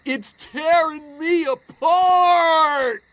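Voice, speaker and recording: A man's voice: extremely high pitch, drawn-out words, fairly bright tone, heavily acted. A man playing a restless, moody 1950s small-town teenage rebel, overwrought and melodramatic, in a video game. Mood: anguished. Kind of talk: video game cutscene acting.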